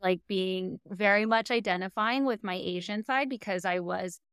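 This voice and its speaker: A woman's voice: medium pitch, moderate pace, a bit bright, smooth, no room sound, studio quality. An American woman hosting a podcast, talking in a chatty, reflective way with frequent fillers and light laughs. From United States. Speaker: podcast host. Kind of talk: casual interview chat.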